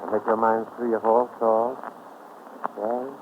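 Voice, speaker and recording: An actor playing a domineering, sinister stage mentalist in a deliberate, imperious 1940s radio style. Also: male voice, on the low side, level tone, natural voice. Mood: menacing, insistent. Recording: noisy, tinny 1940s radio recording.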